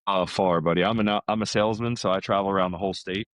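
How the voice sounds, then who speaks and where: Casting: American man, middle-aged, low register, loose chatty storyteller, dry sound. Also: fairly even intonation, fast talker, a bit bright, no room sound. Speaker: podcast guest, salesman. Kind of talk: casual chat.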